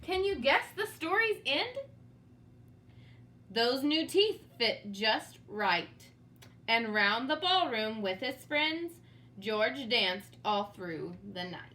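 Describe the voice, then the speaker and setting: A woman's voice: on the high side, big pitch swings, takes it slowly, pushed and bright. A woman reading a storybook aloud for children in a dramatic, teacherly manner, with asides to young listeners. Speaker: storybook reader. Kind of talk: expressive read-aloud for children.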